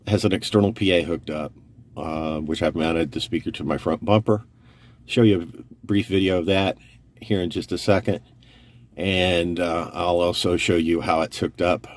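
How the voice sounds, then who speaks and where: A man's voice: deep voice, darkish tone. A man giving a casual, plain-spoken, unscripted product walkthrough as host, recorded on a phone. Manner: plain-spoken, informal, explanatory. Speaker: host of an off-road radio gear overview. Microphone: phone used for filming. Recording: noisy phone camera audio.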